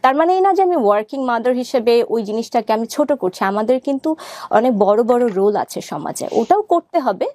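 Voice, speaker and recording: High-voiced Bangladeshi woman, earnest. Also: lively intonation, a little fast, darkish tone. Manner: earnest, code-switches often into English. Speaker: interview guest, doctor and parenting content creator. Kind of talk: reflective personal talk in an interview.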